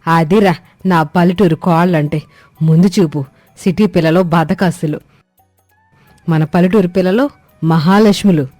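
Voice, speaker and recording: Woman playing a sharp-tongued old mother-in-law. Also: on the low side, moderate pace, pauses often, dry recording, close to an everyday voice. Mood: proud, scolding. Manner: scolding, rustic, blunt. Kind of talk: scripted comic family story acting. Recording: dry studio, music behind.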